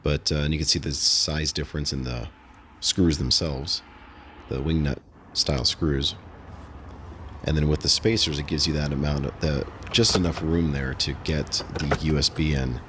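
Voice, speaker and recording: A man casually narrating a how-to vlog in a halting, unscripted, matter-of-fact way. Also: extremely low pitch, steady tone, fairly bright tone, slight background noise. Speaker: host of a DIY install vlog.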